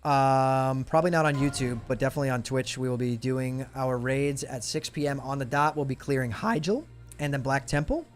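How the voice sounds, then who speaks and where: Raspy-voiced man streaming casually. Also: mid-range voice, a little fast, soft background music. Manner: laid-back, chatty, talks to chat. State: losing his voice. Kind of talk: casual live stream chat.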